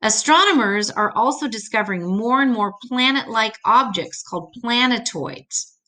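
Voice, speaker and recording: A woman's voice: fairly high pitch, expressive, normal speed, bright, forceful tone. An older woman reading an educational children's book aloud, adding simple, patient explanations as she goes.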